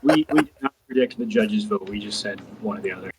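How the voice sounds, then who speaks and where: A man's voice: on the low side, fast, background noise. A young man who co-hosts and edits a podcast, talking in casual chat. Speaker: podcast co-host and editor.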